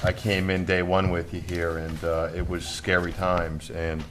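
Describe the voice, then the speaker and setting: A man giving informal, appreciative remarks as a board member at a town meeting, speaking in a halting, folksy way. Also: deep voice, flat delivery, a bit bright. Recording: faint noise, public meeting room.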